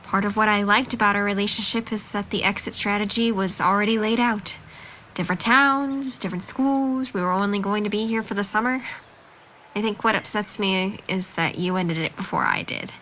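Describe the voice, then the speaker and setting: Female actor for candid young girlfriend in a drama, medium pitch, direct and composed, faint noise behind. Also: moderate pace, pushed and bright, close to an everyday voice. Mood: frank, resigned. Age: young adult. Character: candid, level-headed young woman being broken up with. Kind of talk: naturalistic dramatic dialogue.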